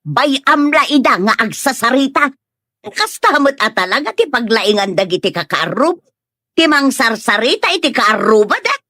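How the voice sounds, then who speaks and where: A woman plays a haughty middle-aged mother in a comedy radio drama. Her voice is high, forceful and very animated, dismissing people with scolding scorn. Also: moderate pace, dry recording, clean studio sound, heavily acted. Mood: irritated, defiant. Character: haughty, hot-tempered mother looking down on others.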